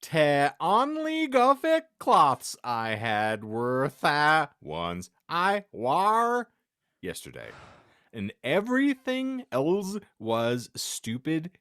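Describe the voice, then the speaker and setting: A man hosting a podcast, giving a theatrical comic reading of fan fiction and halting over odd words. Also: fairly high pitch, huge pitch swings, bright, forceful tone, clean tone, no echo, studio quality. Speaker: podcast host and narrator.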